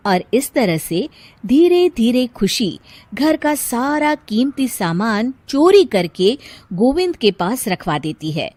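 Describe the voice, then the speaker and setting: A woman narrating a scripted cartoon story as an expressive storyteller. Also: fairly high pitch, dry recording.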